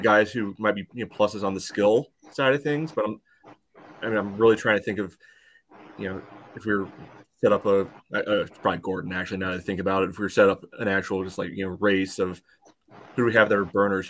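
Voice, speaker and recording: A man breaking down a soccer match casually as a podcast panelist, in a halting way peppered with "you know". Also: on the low side, fairly even intonation, a bit bright, a little hiss or hum.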